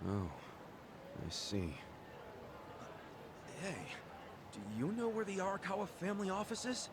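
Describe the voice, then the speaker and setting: A man's voice: high-pitched, wildly swooping pitch, unhurried, background noise, heavily acted. A man voicing a brash, earnest ex-convict yakuza in an English video game dub, speaking bluntly and informally. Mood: curious.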